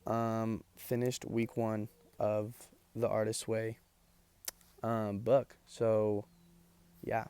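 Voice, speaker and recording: A man's voice: fairly low pitch, monotone, extremely slow, long pauses, dark tone. A young man chatting casually to camera, tired and losing his voice, rambling with lots of ums and likes. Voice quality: gravelly. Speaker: vlogger. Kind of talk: casual chat.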